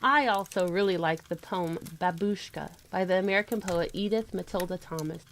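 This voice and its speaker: A mother in a family radio play, played by a woman, with a slightly low voice and noticeable vocal fry. She explains things conversationally, like a teacher at home. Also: moderate pace, slight background noise, lightly acted. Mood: explanatory. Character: informative mother in a family audio drama.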